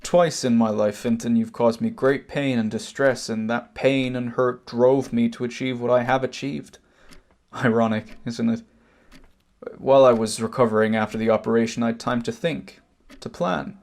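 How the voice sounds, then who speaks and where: An amateur actor with an Irish accent playing a wronged older brother back from abroad, telling his story in a deliberate, pointed way. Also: mid-range voice, flat delivery, a little mellow, natural voice. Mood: hurt, resolute. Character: wronged older emigrant brother, cancer survivor, measured, bitter. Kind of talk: amateur stage drama acting, long monologue.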